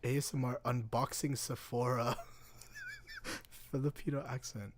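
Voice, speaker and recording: A man's voice: medium pitch, steady tone, measured pace, a little hiss or hum. A man streaming, chatting casually and animatedly as he reacts to videos, swearing and laughing along.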